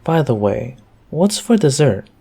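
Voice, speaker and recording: A man's voice: medium pitch, sing-song, measured pace, vocal fry, mellow, husky, heavily acted. A man playing an affectionate, easygoing boyfriend in an intimate roleplay audio, talking tenderly and casually straight to the listener. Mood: warm, casual. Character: affectionate easygoing boyfriend home from work on a snowy night.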